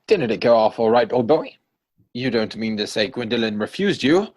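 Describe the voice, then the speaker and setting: A man playing a flippant, idle young gentleman in a theatrical comedy reading, breezy and droll. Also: medium pitch, animated, moderate pace, close to an everyday voice. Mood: amused, teasing.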